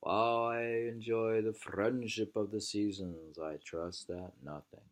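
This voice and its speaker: An American man reading a literary book aloud in an unhurried, musing manner, often pausing to repeat or mull over phrases. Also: fairly low pitch, steady tone. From New England, United States. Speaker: reader.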